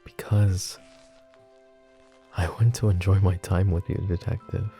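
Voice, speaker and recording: A man playing a flirty, possessive mafia boss who is smitten and vulnerable, speaking in soft, teasing, intimate ASMR roleplay. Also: deep voice, steady tone, unhurried, faint music behind. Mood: hushed, earnest. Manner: soft teasing banter with breathy chuckles.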